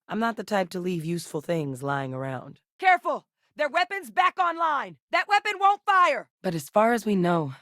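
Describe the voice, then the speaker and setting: An actress voicing a tough, composed female military scientist in a video game, speaking in a brisk, dry manner. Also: on the low side, no room sound. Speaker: game character voice lines.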